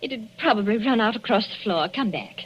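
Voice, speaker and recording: An actress with an English accent playing a young wife who answers anxiously and reluctantly, in 1940s radio drama acting on an old broadcast recording. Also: female voice, on the high side, lively intonation, brisk, natural voice. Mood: frightened. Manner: anxious, reluctant, clipped replies. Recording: dull lo-fi 1940s radio broadcast with faint hiss.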